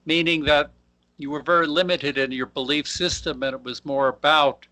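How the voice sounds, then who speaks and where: Bright-voiced male host, halting. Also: fairly high pitch, fairly even intonation. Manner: halting, rephrasing mid-sentence. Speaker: interview host. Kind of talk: conversational interview.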